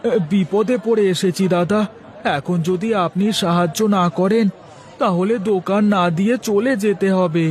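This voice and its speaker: A man's voice: high-pitched, steady tone, normal speed, a faint crowd, close to an everyday voice. A man voicing a humble, worried village vegetable seller in a cartoon, plain-spoken and deferential. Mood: pleading. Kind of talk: cartoon character dialogue.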